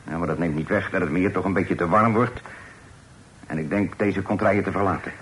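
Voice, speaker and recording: A sly, low-voiced pirate. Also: male voice, fairly quick, poor audio. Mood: musing. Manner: calm, sly, full of sailor's sayings. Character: smooth-talking male pirate, bold and sly.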